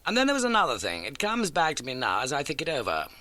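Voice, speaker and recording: A man performs a keen, masterful detective from an audiobook, pitched up and swooping with theatrical relish. The delivery is bright, brisk and emphatic, every point put incisively. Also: wildly swooping pitch, dry recording, heavily acted. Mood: animated puzzling. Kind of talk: audiobook character dialogue.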